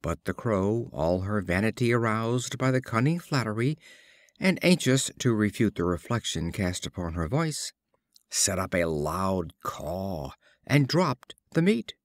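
A man narrating short fables as a scripted storybook reading, in a deliberate, expressive storytelling manner. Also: on the low side, no room sound, clean studio sound. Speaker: audiobook narrator.

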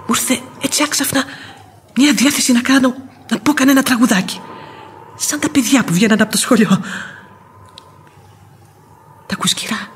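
An actress playing a devoted, outspoken housekeeper and old nursemaid in a radio play, husky-voiced and expressive. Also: female voice, on the high side, slight background noise, lightly acted. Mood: forced cheer, bustling. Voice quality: very husky, breathy. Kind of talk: classic radio theatre acting.

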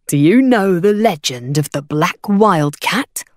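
A woman voicing a grinning male hawker for an audiobook, slick and taunting, with loud wheedling sales patter. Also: fairly low pitch, lively intonation, takes it slowly, dry recording, studio quality. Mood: slick, insistent. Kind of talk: audiobook character voice.

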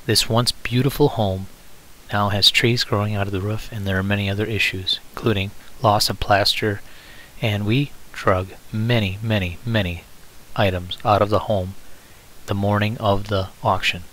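A man's voice: lowish voice, steady tone, moderate pace, fairly bright tone, a little hiss or hum, rough recording. A man narrating a video of his auction in an easygoing, casual way, with fillers. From northern Wisconsin. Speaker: antiques dealer narrating.

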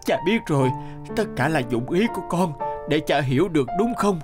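A man's voice: on the high side, animated, a little fast, lightly acted. A man playing a remorseful old count and loving father in a cartoon fairy tale, speaking emotionally, with music behind him.

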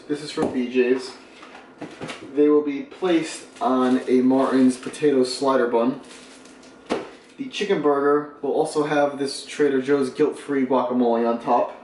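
A man's voice: medium pitch, darkish tone, a little hiss or hum. A young man in his twenties talks casually and cheerfully to his camera, explaining his eating habits as he goes. His voice sits in the middle of the male range. The small home room adds a roomy echo. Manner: upbeat, explains nutrition while eating. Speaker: fitness vlogger. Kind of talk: casual food vlog.

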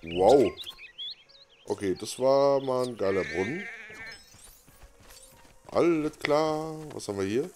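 A man's voice: medium pitch, animated, takes it slowly, long pauses, music in the background. A man giving casual, unscripted game commentary as host, muttering offhand reactions to himself. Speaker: gaming let's play host.

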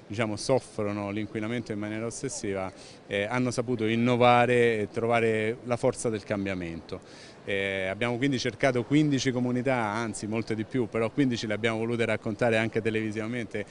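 A man in his fifties with an Italian accent, giving earnest, fluent interview answers into a handheld microphone in a large indoor expo hall, with frequent "eh" fillers and restarts. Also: medium pitch. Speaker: president of an environmental organization. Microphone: handheld reporter microphone held close. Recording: noisy venue background.

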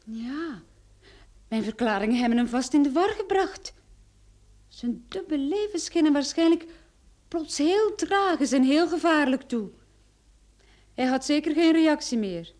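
A woman playing an earnest, quick-witted young sleuth in an old Dutch radio drama, speaking with dramatic expression. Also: high-pitched, animated, a little mellow. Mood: subdued.

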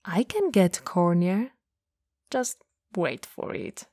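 A woman performs a playful, teasing goth older sister in a romantic ASMR roleplay. Her voice is dark and husky with breath, often slipping toward a whisper. She sits very close to the mic in a dry, intimate recording. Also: on the low side, very animated, takes it slowly, no echo, heavily acted. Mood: mock offended, teasing. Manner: soft, breathy, drifting into whispers. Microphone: close, dry studio mic.

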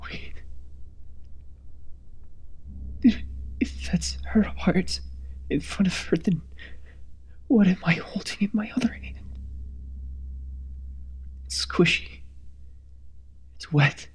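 A woman voicing a terrified, guilt-ridden husband in a first-person horror story, halting and breathy, often dropping to a whisper. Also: on the low side, heavily acted. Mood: horrified realization. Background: background music.

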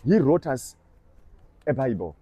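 A man's voice: mid-range voice, sing-song, measured pace, dark tone, husky, dry recording. A young man preaching on a busy shopping street, talking earnestly and persuasively to a passer-by and repeating scripture back line by line. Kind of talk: street evangelism conversation.

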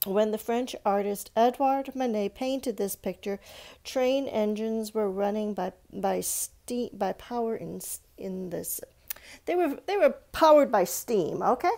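A woman with noticeable creak in her mid-pitched voice reads aloud to children as an art presenter. She mixes the reading with informal explanations and speaks straight to her young audience, often in questions. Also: a little slow, darkish tone. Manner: instructive, addresses young viewers directly with questions. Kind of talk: read-aloud art lesson for children, with chatty asides and questions. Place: indoors at a stone countertop with a book.

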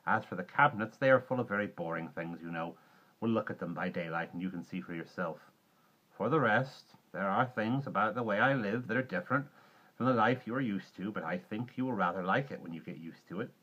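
A man voicing a kindly woman who calmly reassures a child, warm and unhurried, in a storybook reading. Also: on the low side. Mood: warm reassurance. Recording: dull muffled recording with clear background noise.

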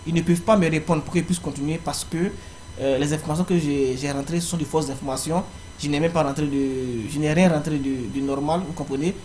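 A young man with a slightly high voice guides viewers through an application form step by step. He speaks haltingly and fills gaps with hesitation sounds. A faint background noise runs under his voice. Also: steady tone, darkish tone. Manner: halting, frequent fillers. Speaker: tutorial presenter. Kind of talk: screen-recorded walkthrough.

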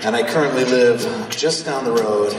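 A man's voice: mid-range voice, moderate pace, distant mic. A man, a singer, addressing a concert audience from the stage. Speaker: singer introducing himself. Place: large indoor theater stage. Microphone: handheld mic through PA, recorded from audience. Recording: very echoey hall, loud crowd, noisy.

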